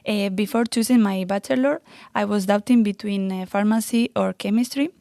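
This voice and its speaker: A woman in her thirties from Spain with a Spanish accent, answering interview questions about her research with many pauses and fillers. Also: mid-range voice, fairly even intonation, creaky, mellow, no room sound, studio quality. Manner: hesitant, many fillers and restarts. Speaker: postdoctoral researcher.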